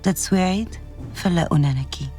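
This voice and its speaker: A woman's voice: lowish voice, moderate pace, warm tone, soft background music, close to an everyday voice. An actress playing a solemn North African local woman, narrating a wartime story in a video game cutscene. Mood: solemn, hushed. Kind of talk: scripted cinematic narration.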